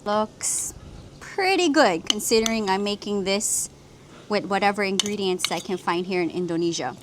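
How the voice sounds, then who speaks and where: A woman's voice: mid-range voice, normal speed, noisy background. A woman from Hawaii hosting a cooking vlog, explaining each step of a simple recipe in an upbeat way. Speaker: cooking channel host.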